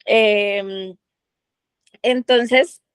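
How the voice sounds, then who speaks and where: A woman's voice: medium pitch, fairly even intonation, extremely slow, clean tone, dry recording, clean studio sound. A woman in a book club, talking through her thoughts in a rambling way with many fillers and restarts. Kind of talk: casual group discussion.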